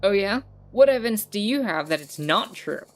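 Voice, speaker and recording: A woman's voice: mid-range voice, animated, moderate pace, a little hiss or hum, pitched above the performer's own voice, lightly acted. A woman voicing a stubborn conspiracy theorist bug in a video game, argumentative and insistent. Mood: insistent, contrary.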